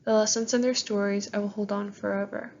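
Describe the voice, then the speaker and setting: A woman's voice: medium pitch, level tone, fairly quick, vocal fry, darkish tone, muffled recording. A young Canadian woman reading out her prepared class presentation into a modest recorder that dulls the sound, like a slideshow handed in for a course. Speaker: student presenter. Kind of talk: scripted presentation, read aloud.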